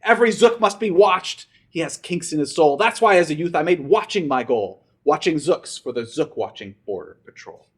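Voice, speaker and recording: Elderly storybook grandfather, voiced by a man, indignant and preachy, with very animated, emphatic pitch. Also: moderate pace, hardly any pauses, close to an everyday voice. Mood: indignant, grave.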